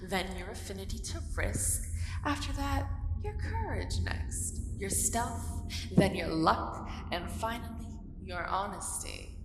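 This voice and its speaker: Woman voicing a slow, haughty dragon in cavernous reverb. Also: medium pitch, lively intonation. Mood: playful, bored superiority. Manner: slow, taunting, theatrical, drawn-out words. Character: ancient female dragon, haughty, bored, playfully menacing hoard guardian. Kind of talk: ASMR roleplay monologue. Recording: cavernous reverb, noisy cave ambience.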